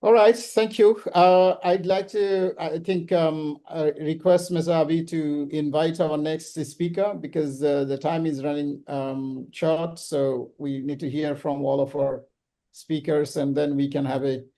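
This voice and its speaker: A man hosting an online business summit, talking casually and haltingly with many ums and uhs over a video call. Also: fairly high pitch, little pitch movement, mellow. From Bangladesh. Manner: hesitant, full of ums and uhs. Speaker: summit host.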